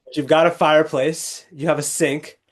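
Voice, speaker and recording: A man's voice: fairly high pitch, flat delivery. Articulate young Texan man telling stories. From Texas. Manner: articulate, measured, with restarts. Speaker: guest, legal scholar. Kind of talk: conversational interview storytelling.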